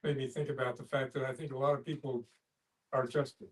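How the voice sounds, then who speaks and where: A man talking casually in a group discussion with halting, tentative phrasing. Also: medium pitch, little pitch movement. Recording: distant mic, group discussion.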